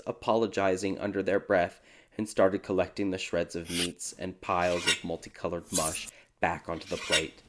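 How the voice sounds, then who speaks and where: A man narrating a dark fairy tale audiobook in a dramatic, scripted storytelling style. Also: lowish voice. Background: background music.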